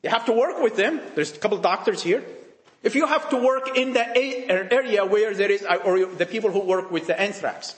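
A man's voice: sits high, brisk, reverberant. A man preaching a sermon to young people, emphatic and full of rhetorical questions, often repeating phrases and restarting sentences.

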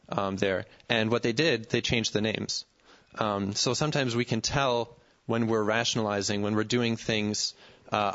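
Man, Bible study discussion, explanatory, hesitant fillers, low voice, lo-fi recording. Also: fairly bright tone.

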